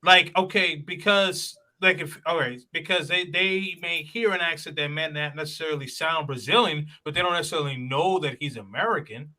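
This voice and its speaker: A man's voice: a little high, moderate pace, hardly any pauses, bright, forceful tone. A man in casual chat who stammers and restarts often as he builds his point.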